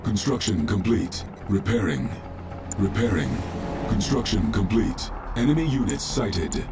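Casting: impersonal war-game base announcer, low voice, terse delivery, heard under loud music. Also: male voice, lively intonation, measured pace. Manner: clipped, impersonal. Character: impassive male military base computer announcer. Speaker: battle status announcer. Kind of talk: clipped video game status announcements.

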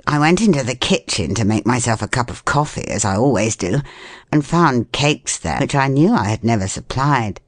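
A brusque, sensible gentleman from a storybook played by a woman reader, low in pitch and lively in inflection. He is matter-of-fact and courteous, a hard-worked man with little time for nonsense. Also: moderate pace, dry recording, clean studio sound. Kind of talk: storybook character voice.

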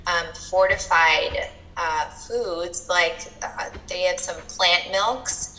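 A woman with a fairly low, bright voice gives informative explanations in a casual, halting way. She sounds like a nutrition guest on a video call, with a roomy echo and faint noise around her. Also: soft background music. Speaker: nutrition expert guest on a cooking show.

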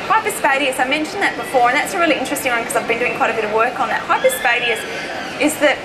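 High-voiced Australian woman presenting over loud noise. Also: fast talker, a loud crowd. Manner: emphatic, conversational. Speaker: health educator giving a public talk. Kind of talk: informal seminar presentation. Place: indoor presentation stage at an expo.

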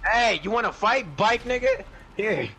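Teasing young man, lively high-ish voice. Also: unhurried, a little hiss or hum. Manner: teasing, mocking, profane. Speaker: gaming video host playing online. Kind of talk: casual game voice chat.

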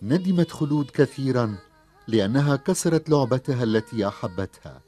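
A man's voice: mid-range voice, expressive, a little fast, warm tone, faint music behind. A male narrator tells a scripted story for a children's cartoon. His voice sits in an average male range.

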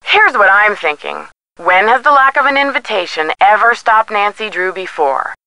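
A woman's voice: mid-range voice, animated, moderate pace, sharp tone, dry recording, low-quality recording. An actress playing a detective's chatty, witty young female friend in a video game, with a playful, teasing manner. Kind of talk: scripted video game dialogue.

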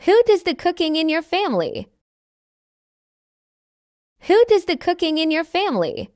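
A woman's voice: very high voice, sing-song, normal speed, a little mellow, no room sound, studio quality. A woman reading a simple English dialogue for learners, carefully enunciated and repeating each line. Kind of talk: slow scripted dialogue reading.

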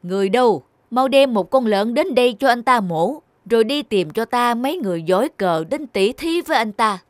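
An actor playing a stern middle-aged magistrate in a cartoon, deliberate and authoritative. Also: male voice, extremely high pitch, lively intonation, no echo. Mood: puzzled, authoritative. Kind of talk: cartoon voice acting.

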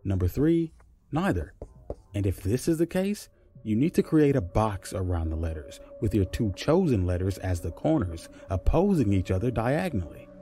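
A man's voice: fairly low pitch, very animated, moderate pace, mellow, a little hiss or hum. An actor playing a patient, puzzle-savvy man who explains step by step in naturalistic audio drama dialogue. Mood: explaining.

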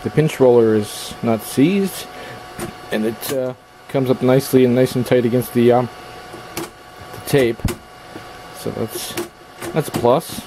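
Male repair vlogger, casual and halting, thinking aloud; noisy handheld camera sound in a small workshop. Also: mid-range voice. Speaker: electronics repair hobbyist hosting a video. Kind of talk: casual hands-on repair commentary. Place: small indoor workshop at a workbench. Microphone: handheld camera's built-in microphone.

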